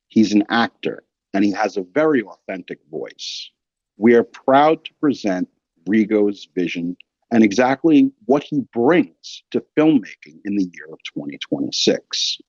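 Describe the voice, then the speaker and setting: Animated man hosting a podcast. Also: lowish voice, breathy edge, no room sound. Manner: emphatic, colloquial. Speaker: podcast host. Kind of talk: podcast introduction, opinionated monologue. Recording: dry, slightly dull close recording.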